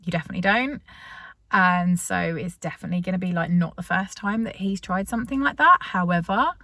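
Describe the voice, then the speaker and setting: A British woman talks straight to her viewers in a relaxed, rambling way, stopping and restarting her sentences often. Her voice sits a little low. The sound is dry and close, with no room around it. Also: a little fast, dry recording. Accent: British English. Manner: chatty, many fillers and restarts. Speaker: vlogger. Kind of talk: casual storytelling to camera.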